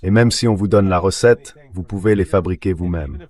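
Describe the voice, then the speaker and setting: Male commentator, notably low voice, translated synthetic read of conversational political analysis. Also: normal speed, darkish tone. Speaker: guest commentator.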